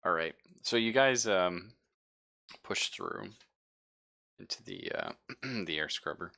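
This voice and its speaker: A man with a deep, bright voice acts as game master for a tabletop roleplaying game. His narration is casual and conversational, with joking and swearing mixed in. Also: wildly swooping pitch, slow, creaky.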